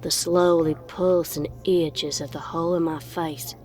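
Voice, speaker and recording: A woman playing a menacing horror-story narrator obsessed with something grotesque, reading in a drawn-out, ominous way over music. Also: deep voice, steady tone. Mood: slow, ominous. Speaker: narrator of a horror fan fiction audio reading. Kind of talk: dramatic scripted horror narration.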